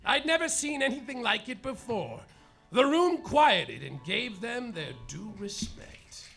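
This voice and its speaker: A man narrates like a hardboiled private eye in a live stage comedy, his voice high, bright and gravelly. The delivery is deadpan but breaks into yelled outbursts. Faint music sits under it. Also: measured pace. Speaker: lead performer in a live comedy play, as the detective.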